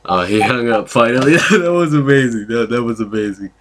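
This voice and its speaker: A man chatting casually as a prank caller and host, in a hesitant, halting way. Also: mid-range voice, expressive, background noise. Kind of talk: casual chat.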